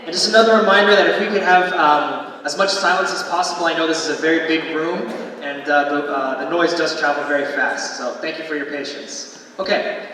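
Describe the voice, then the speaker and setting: A man's voice: a little high, steady tone, a little fast, pushed and bright. A young man moderating a panel in a big hall, polite and organized as he lays out the format. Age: young adult. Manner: organized and polite, giving instructions. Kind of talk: hosting a panel. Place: large conference hall. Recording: echoey hall from far off.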